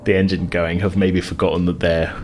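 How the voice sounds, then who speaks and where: A man's voice: deep voice, level tone, fairly quick, a little mellow. A man running a tabletop game as game master, narrating in a rambling, hesitant, conversational way and explaining as he goes. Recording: clear background noise.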